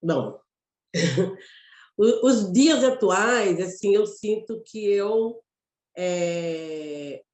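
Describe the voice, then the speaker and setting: A woman from Mato Grosso with a Mato Grosso Brazilian accent, an actress telling her story in an interview, reflective and halting, often restarting phrases. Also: mid-range voice, expressive, a little mellow, roomy sound. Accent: Mato Grosso Brazilian Portuguese. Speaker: actress and guest.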